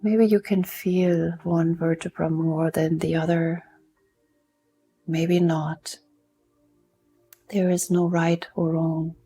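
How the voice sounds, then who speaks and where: Slow, low-pitched woman guiding meditation. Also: level tone, faint music behind. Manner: soft, soothing, unhurried instruction with long pauses. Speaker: meditation guide. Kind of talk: guided mindfulness meditation.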